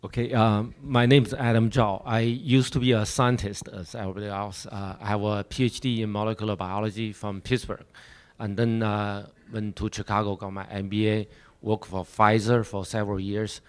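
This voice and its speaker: Conference panelist, man from China, halting speech full of fillers, lowish voice. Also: level tone. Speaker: venture capitalist panelist. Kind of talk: panel introduction.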